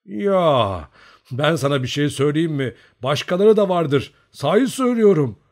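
A man voicing a gruff, bossy, excitable Cossack comrade in an audiobook story, scolding and insisting. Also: fairly high pitch, moderate pace, voice pushed up. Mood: grumbling, insistent.

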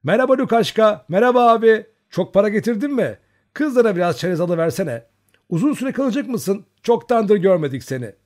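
Male narrator putting on bubbly teasing girls, way up in pitch, loud. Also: animated, brisk. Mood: playful. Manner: high, chirpy, teasing. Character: chorus of cheeky young village girls.

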